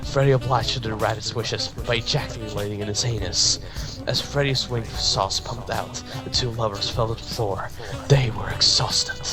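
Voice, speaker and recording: A man's voice: fairly low pitch, moderate pace, hardly any pauses, poor audio. A man giving a dramatic reading of fan fiction, sometimes stumbling and repeating words. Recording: music behind the voice. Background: music.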